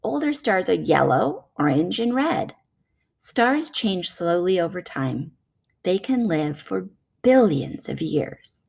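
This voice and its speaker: A woman's voice: fairly low pitch, sing-song, takes it slowly, gravelly, a little mellow, breathy edge. Woman reading a children's science book aloud.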